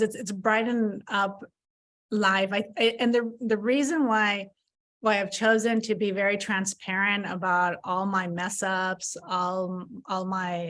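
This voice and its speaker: A woman's voice: mid-range voice, a bit bright. A woman in her fifties, a podcast guest, talking candidly about her past mistakes and often repeating words as she restarts. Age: 50s. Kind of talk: candid conversational interview.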